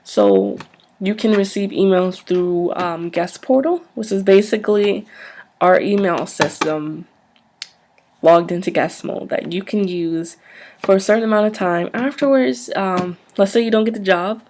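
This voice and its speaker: A soft-spoken woman in an ASMR roleplay, acting as a polite, businesslike job interviewer who explains things a little hesitantly. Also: medium pitch, lively intonation, a little slow, a little hiss or hum.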